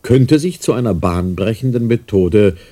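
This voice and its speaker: An eager, calculating scientist lays things out in a radio play. The voice is husky and mellow with lively swings in pitch. The manner is officious, pausing to search between points. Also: male voice. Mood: insistent, eager. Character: eager, calculating male research scientist addressing his chairman. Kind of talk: radio drama acting.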